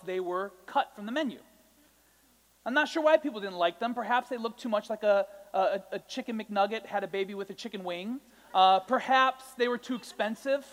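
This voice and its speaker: High-voiced young male preacher. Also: a bit bright. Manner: conversational and self-joking, with asides to the congregation. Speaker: pastor. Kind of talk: sermon. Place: church sanctuary. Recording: long hall reverb.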